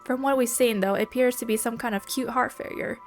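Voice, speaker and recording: A woman's voice: a little high, fairly even intonation, quick pace, faint music behind. A woman playing a game, reading its dialogue aloud and commenting playfully in between, her voice stuffy from allergies. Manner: reads lines and then comments playfully. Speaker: let's play gamer.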